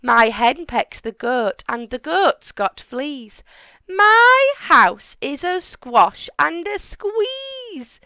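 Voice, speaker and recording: High, dramatic little old lady played by a woman. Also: sing-song, very slow, pushed and bright, dry recording, muffled recording, full character voice. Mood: distressed, exclaiming. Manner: pleading, exclaiming, theatrical.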